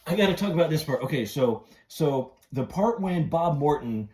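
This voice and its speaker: Excited guy on a fan podcast, really swingy voice, stutters and repeats himself, giggly. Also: a little high, normal speed. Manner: effusive, breaks into big giggly laughs; repetitive, stammering excited delivery. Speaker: podcast host. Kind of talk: excited casual fan chat about a film.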